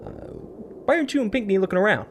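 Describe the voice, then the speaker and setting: A man's voice: sits high, lively intonation, racing, heavy background noise. A man voicing a sardonic, impatient New York City detective in an audio drama, blunt and skeptical. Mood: confrontational, sarcastic.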